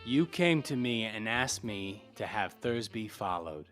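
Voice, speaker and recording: An actor playing a tough, cynical private detective in 1940s radio drama style, blunt and clipped. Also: male voice, fairly low pitch, expressive, a little slow, a bit bright, soft background music. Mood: cold, accusing. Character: hard-boiled cynical male private detective, tough and unsentimental.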